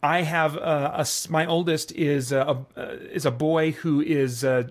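Male podcast host, middle-aged, a bit higher-pitched, informal conversational reviewer working through his thoughts. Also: fairly even intonation, measured pace. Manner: conversational, thinking aloud. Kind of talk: casual, semi-improvised movie review.

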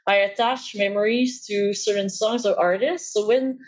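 A young woman with a Quebec French accent, a native French speaker, answers interview questions thoughtfully, often pausing on "uh". Her recording sounds a little dull. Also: medium pitch, steady tone. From Quebec, Canada. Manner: thoughtful, hesitant with frequent fillers. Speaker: podcast guest, PhD student. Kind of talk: casual interview talk.

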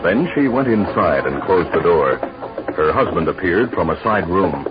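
A man with a very low voice narrates a 1950s radio western. He is measured and formal, on a dull vintage recording with music underneath. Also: animated.

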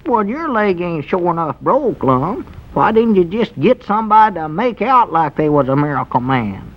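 An actor playing a naive, literal-minded and skeptical country sidekick in an old radio comedy, speaking hesitantly in rustic dialect with a rural Southern American accent. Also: male voice, high voice, very animated, normal speed. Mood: practical advising. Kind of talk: 1940s radio comedy acting. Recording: dull, lo-fi old radio broadcast.

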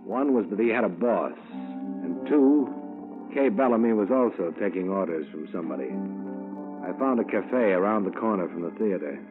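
An American actor playing a tough, wry insurance investigator in a 1950s radio detective drama, terse and hard-boiled. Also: male voice, fairly low pitch, lively intonation, moderate pace, lightly acted. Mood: wry narration. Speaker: lead actor and narrator of a detective serial. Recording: tinny vintage 1950s radio, music behind.

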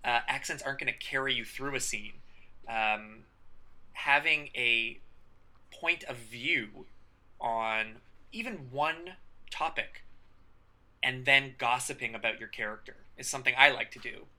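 A man with a very bright, forceful voice co-hosts a laid-back improv podcast. He riffs on examples with animated emphasis and an occasional swear word, chatting loosely as he goes. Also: mid-range voice, measured pace, low-quality recording. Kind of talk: casual instructional chat.

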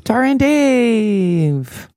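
Mellow, very low-voiced sardonic woman. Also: big pitch swings, very slow, no echo, studio quality. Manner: sardonic, blunt. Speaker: podcast host. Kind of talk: conversational TV episode recap.

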